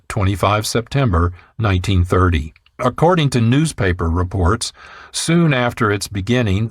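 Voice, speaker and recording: A man narrating a scripted radio documentary in a measured, formal way. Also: mid-range voice, no echo, clean studio sound.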